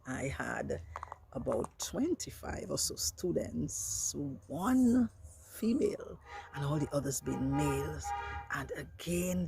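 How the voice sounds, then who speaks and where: An older woman from Dominica, a veteran teacher, earnestly and reflectively recounting her career in a measured way. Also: low voice, creaky, warm tone, slight background noise. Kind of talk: personal reminiscence.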